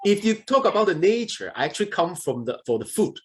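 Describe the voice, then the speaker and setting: A man's voice: sits high, very animated, fast. Give me a chatty man from Southeast Asia answering on a panel. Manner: chatty, keeps adding "okay?" at the end of points. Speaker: startup visa panelist.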